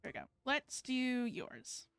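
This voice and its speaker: A woman chatting casually on a live gaming stream, haltingly thinking aloud as she plays. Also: high-pitched, sing-song, clear, resonant tone, dry recording. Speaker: game streamer.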